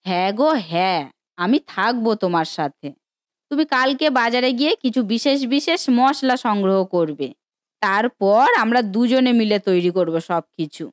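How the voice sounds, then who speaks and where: A woman's voice: mid-range voice, expressive, normal speed, no echo, clean studio sound, lightly acted. A woman voicing an affectionate, earnest village wife who reassures her husband in a cartoon story. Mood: encouraging. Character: supportive, encouraging village wife of a biryani cook. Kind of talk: Bengali cartoon story voice acting.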